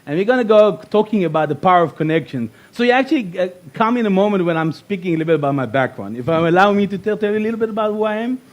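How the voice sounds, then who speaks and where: Middle-aged Israeli-born speaker, high voice, punchy and repetitive, workshop presenter. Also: male voice, very fast, few pauses. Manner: animated, emphatic, repeats key phrases. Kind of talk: motivational workshop talk.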